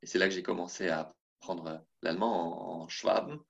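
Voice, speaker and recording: A man's voice: low voice, moderate pace, creaky, fairly bright tone, muffled recording. A man from France speaking in a casual interview, hesitant and full of restarts. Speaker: interview guest.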